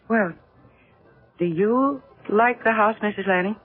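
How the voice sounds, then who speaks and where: A woman's voice: mid-range voice, husky. An American actress playing a polite, eager, nostalgic young wife in a 1970s radio drama, hesitant and girlishly enthusiastic. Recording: muffled, narrow-band vintage radio recording.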